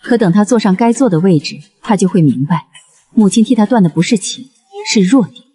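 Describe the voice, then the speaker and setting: A woman's voice: medium pitch, lively intonation, brisk, dark tone, breathy edge. A woman playing an older imperial consort, a coldly ruthless and devoted mother scheming in a costume drama. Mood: cold resolve.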